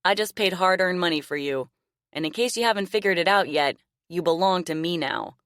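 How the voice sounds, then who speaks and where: A woman's voice: fairly low pitch, brisk, fairly bright tone, no echo, clean studio sound. A woman voicing a cruel man who taunts his captive in a smug, mocking way.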